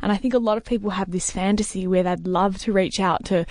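A woman hosting a casual interview, reacting eagerly with gasps and quick remarks. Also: medium pitch, steady tone, a little mellow, no echo, studio quality. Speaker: podcast host.

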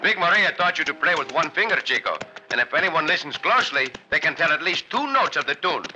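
An actor playing a genial, confident Mexican cowboy adventurer with a Mexican accent and courteous, formal phrasing in an old radio western. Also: male voice, fairly high pitch, animated, fast talker, bright, forceful tone, slight background noise. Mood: amused. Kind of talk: 1940s-50s radio western acting. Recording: muffled vintage radio recording with music.

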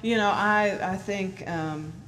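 A woman singer in casual conversation, agreeable and quick to laugh, saying yeah often. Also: medium pitch, a bit bright. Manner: agreeable, many yeahs and small laughs. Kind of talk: casual interview chat. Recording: noisy background.

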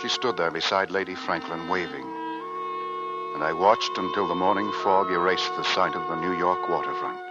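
An actor playing an earnest first mate who narrates an 1850s Arctic voyage, in old-time radio drama style. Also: male voice, sits low, normal speed, natural voice. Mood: grave, reflective. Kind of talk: 1940s-50s radio drama acting and narration. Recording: muffled old radio recording under loud music.

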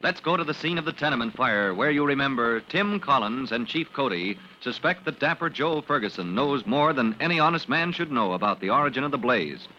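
Casting: male vintage radio announcer, bright forceful dramatic delivery, slightly high, dull old recording. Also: expressive, brisk, hardly any pauses, a little hiss or hum. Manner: grand, emphatic. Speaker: announcer and narrator.

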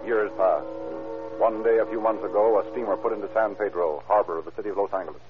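Fast talking male actor as old radio crime narrator, lowish, tinny, music behind. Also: steady tone, natural voice. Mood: brisk, grave. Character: brisk, authoritative male crime story narrator. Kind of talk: scripted 1940s radio narration.

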